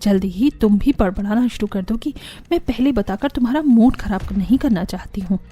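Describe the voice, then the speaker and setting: A woman's voice: medium pitch, a little fast, warm tone, lightly acted. A woman voicing a weary, grumbling elder daughter-in-law with a resigned, knowing manner in a cartoon story.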